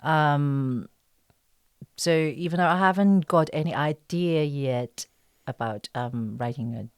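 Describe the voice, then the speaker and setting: A woman from China with a Chinese accent, chatting in an interview and often pausing to search for words. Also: sits low, clear, resonant tone, no echo, clean studio sound. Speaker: interview guest, actress and writer. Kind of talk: casual interview chat.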